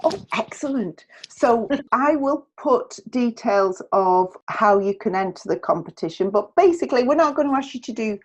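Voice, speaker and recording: A woman's voice: on the high side, expressive, normal speed, few pauses, darkish tone, muffled recording. A middle-aged woman hosting a podcast, speaking in a chatty presenting style. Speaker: podcast host.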